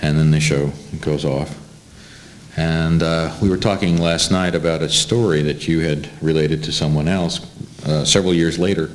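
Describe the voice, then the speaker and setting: A man's voice: a bass voice, fairly even intonation, normal speed. A middle-aged American man on a panel, telling an anecdote in an informal way. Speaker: panel interviewer. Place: indoor room, seated at a panel table. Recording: faintly noisy amateur camcorder recording.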